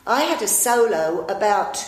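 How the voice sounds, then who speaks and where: A woman's voice: mid-range voice, animated, measured pace, a loud crowd. A woman in her fifties, an actress, telling long rambling anecdotes in a chatty, self-deprecating way. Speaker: actress and improviser, interview guest. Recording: echoey room.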